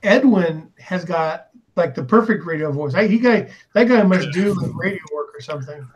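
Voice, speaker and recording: A man chats wryly on a voice call. His voice sits high for a man. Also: lively intonation, moderate pace, lo-fi sound. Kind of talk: casual group chat.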